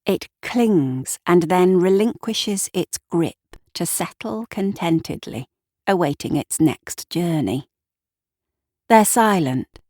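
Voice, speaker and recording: A woman narrating a dramatic story in a hushed, lyrical, lingering way. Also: lowish voice, animated, slow pace, no echo, clean studio sound.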